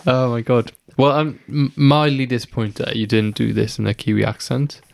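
A man with a gravelly, fry-heavy voice chats in a loose, jokey way, often asking questions and teasing his co-hosts. His pitch stays middling. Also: flat delivery, normal speed, a little mellow. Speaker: podcast co-host. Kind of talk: casual chat.

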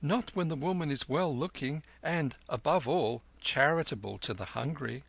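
A man voicing a quick-witted, cheeky street boy who answers promptly and boldly. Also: on the high side, gravelly, a bit bright, husky, no room sound, voice pushed up, exaggerated voice.

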